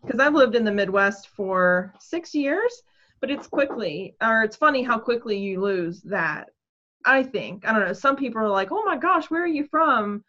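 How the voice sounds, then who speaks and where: A woman's voice: fairly high pitch, lively intonation, normal speed, pushed and bright. A woman in her thirties, co-host of a crafting chat, rambling casually with lots of fillers over a video call from home. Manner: rambling, hesitant, filler-heavy. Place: home room. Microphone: webcam on video call. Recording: dull lo-fi call audio.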